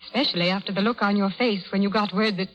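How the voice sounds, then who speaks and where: An actress playing a frank, spirited young daughter in a vintage radio drama, with a brisk, teasing way of talking. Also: female voice, mid-range voice, fairly even intonation, dull audio, faint music behind, natural voice. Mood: candid. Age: young adult. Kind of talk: 1930s radio drama acting.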